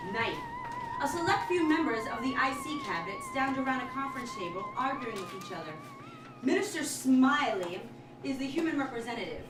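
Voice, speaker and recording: Woman narrator, very high lively voice, staged script reading, echoey room, clear noise. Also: far from the mic.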